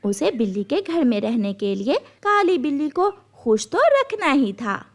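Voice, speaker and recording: A woman's voice: sits high, sing-song, a little slow. A woman narrating a scripted children's story as a storyteller.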